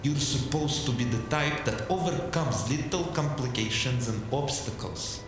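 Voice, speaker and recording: A man's voice: medium pitch, normal speed, close to an everyday voice. A cold, arrogant corporate fixer, voiced by a man, dismissing every complaint in a clipped, contemptuous tone. His voice rings in a very echoey space with music running underneath. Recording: echoey room with music behind.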